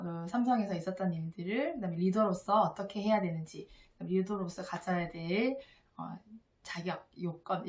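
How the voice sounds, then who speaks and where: A woman giving a casual, earnest and encouraging spoken book review to viewers as a vlogger. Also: mid-range voice. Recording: echoey room.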